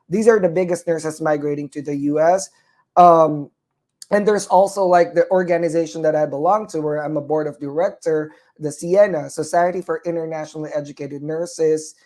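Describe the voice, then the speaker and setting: A man's voice: fairly high pitch, darkish tone. Earnest man with a Filipino accent. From Philippines. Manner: earnest, encouraging, many fillers and restarts. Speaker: nurse practitioner and co-host. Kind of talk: motivational talk-show advice.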